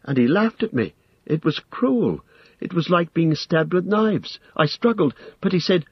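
A man voicing a frightened, pleading young male hobbit in a storybook reading, with a shrill, stammering voice. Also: sing-song, measured pace, breathy edge, no echo, highs cut off, full character voice. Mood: anguished. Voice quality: shrill, strained. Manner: stammering, hesitant.

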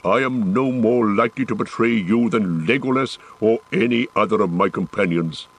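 A man reading an audiobook, voicing a proud and stubborn male dwarf warrior in a gruff, defiant manner. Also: fairly low pitch, normal speed. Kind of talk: audiobook character voice.

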